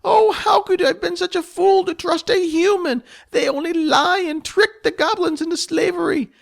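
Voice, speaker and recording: A man voicing a small, frightened female goblin who pleads and wails in a high voice. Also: normal speed, creaky. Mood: distraught pleading.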